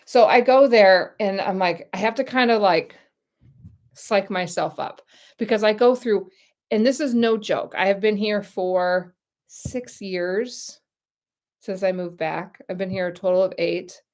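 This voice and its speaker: Gravelly-voiced chatty American woman. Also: medium pitch, measured pace, a little mellow. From United States. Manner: chatty, rambling, talks to viewers directly. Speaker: YouTube channel host. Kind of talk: casual livestream chat.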